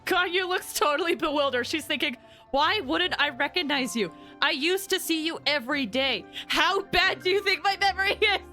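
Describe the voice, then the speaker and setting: A woman doing casual, animated and jokey live-stream commentary on a game. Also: sits high, normal speed, soft background music. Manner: animated, jokey, giggly, acts out imagined lines.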